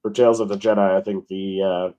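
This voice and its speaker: A man co-hosting a casual podcast chat, a little hoarse as he is losing his voice, relaxed and easygoing. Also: sits low, fairly quick. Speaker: podcast co-host.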